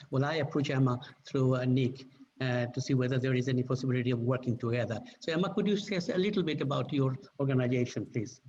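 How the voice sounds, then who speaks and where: A man's voice: mid-range voice, a little mellow. A man chairing a charity meeting on a video call, politely introducing speakers. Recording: dull lo-fi call audio with faint noise.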